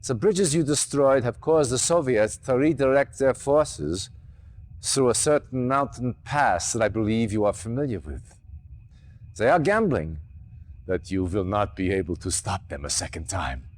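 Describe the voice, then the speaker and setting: An actor playing a grave, commanding older army general, speaking formally and deliberately in a video game cutscene. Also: male voice, medium pitch, big pitch swings. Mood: somber, determined. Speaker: military commander. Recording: noisy old game video audio.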